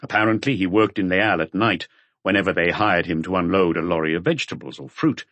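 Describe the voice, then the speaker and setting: A man's voice: sits low, quick pace, a bit bright, no room sound. A man reading a mystery novel as a scripted audiobook narration.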